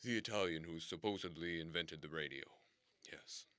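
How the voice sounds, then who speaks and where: An actor playing a formal, theatrical radio station boss who explains things slowly and swears grand oaths. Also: male voice, low-pitched, sharp tone, no echo, studio quality. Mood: lecturing. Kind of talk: comedic audio drama acting.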